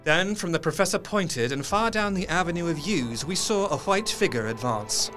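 A man playing an earnest, haunted Victorian gentleman, narrating a horror drama in a measured, literary and expressive way. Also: a little high, close to an everyday voice. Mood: dread. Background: music.

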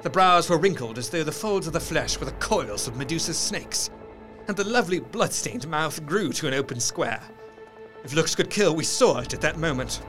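Earnest male diarist, played by an actor, gothic audio drama narration: formal, intense, fairly high voice, light music underneath. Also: lively intonation, moderate pace, fairly bright tone, poor audio. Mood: horrified, intense. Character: earnest man recounting horrors from his journal.

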